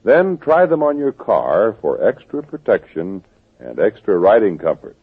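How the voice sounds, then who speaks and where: A man announcing scripted commercials and credits on an old radio show in a smooth, persuasive way. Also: lowish voice, very animated, a little slow. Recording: tinny old radio broadcast with music.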